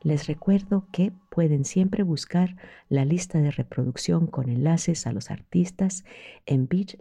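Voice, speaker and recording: Music radio host with a gravelly fry, warm studio-mic presence. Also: mid-range voice.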